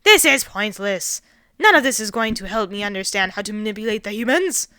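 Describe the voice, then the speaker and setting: A woman voicing a loud, arrogant male alien invader in a story, indignant and excitable, given to bombastic shouting. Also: medium pitch, brisk, clean tone, no room sound, clean studio sound. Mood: irritated, puzzled. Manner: shouting, bombastic, refers to himself in third person.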